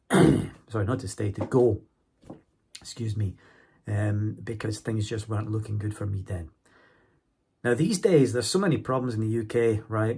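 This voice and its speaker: A Scottish man in his forties talks to his viewers in a low, gravelly voice that is roughened by a cold. He chats casually and keeps checking that his listeners are still with him. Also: mellow. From Scotland. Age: 40s. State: has a cold. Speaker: YouTuber. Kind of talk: casual monologue to camera.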